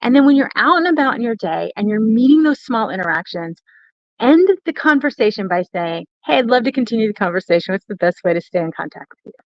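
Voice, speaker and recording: A woman's voice: fairly high pitch, lively intonation, fast talker, no room sound, muffled recording. A woman hosting a live stream, coaching viewers in an emphatic, encouraging, instructive way and addressing them directly. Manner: emphatic, repeats phrases for stress, addresses listeners directly. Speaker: host of a networking community's live stream.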